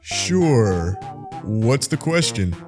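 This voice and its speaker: A man's voice: mid-range voice, big pitch swings, extremely slow, over music. A man voicing an easygoing monster in a cartoon dub, casual and dryly joking. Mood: easygoing, wry. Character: laid-back, wry male monster family friend.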